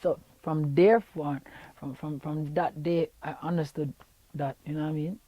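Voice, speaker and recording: A man's voice: on the high side, steady tone, normal speed, no echo, dull audio. A young Jamaican man in an interview, talking in an easygoing way with lots of fillers and repeated words, on a lapel mic in a studio room. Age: young adult. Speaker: singer being interviewed. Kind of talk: relaxed interview chat. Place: radio station studio room.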